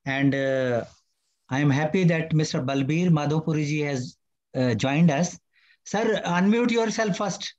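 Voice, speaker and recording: A man's voice: fairly high pitch, a little slow, a little mellow. A man moderating an online poetry event, polite and formal as he introduces and invites guests. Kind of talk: event hosting. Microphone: video call. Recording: dull lo-fi call audio.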